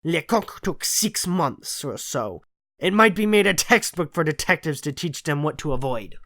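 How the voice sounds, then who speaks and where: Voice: medium pitch, expressive, normal speed, a bit bright. A narrator playing a conceited, analytical male detective in an audiobook, lecturing with self-assured disdain. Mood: angry, scornful. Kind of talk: audiobook character voice in classic detective story.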